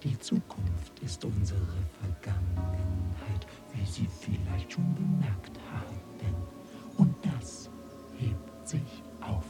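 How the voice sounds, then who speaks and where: An actor playing a lofty, philosophical alien authority in a German radio drama, lecturing in a condescending way. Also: male voice, deep voice, expressive, slow pace, over music. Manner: didactic, condescending.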